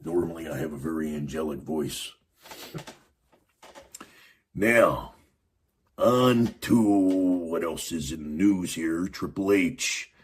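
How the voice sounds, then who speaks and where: A man with a raspy, extremely deep voice hosts a podcast on his own. He talks in a loose, rambling way, full of "you know" and "uh". There is a breathy roughness to his tone. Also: slow, long pauses. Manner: rambling, many fillers and restarts. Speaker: podcast host. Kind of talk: casual wrestling commentary monologue.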